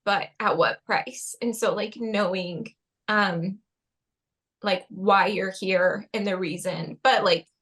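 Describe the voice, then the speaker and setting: A woman's voice: medium pitch, fairly even intonation, slow, a bit bright. A young adult woman speaking as a podcast guest in a casual interview, earnest and heartfelt, with frequent "um" and "like".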